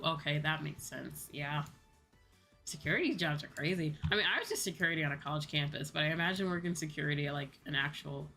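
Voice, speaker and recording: Woman, young adult, lowish pitch, chatty livestreamer, repetitive informal phrasing, music in the background. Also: fairly bright tone. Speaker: VTuber live streamer. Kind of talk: casual chat livestream.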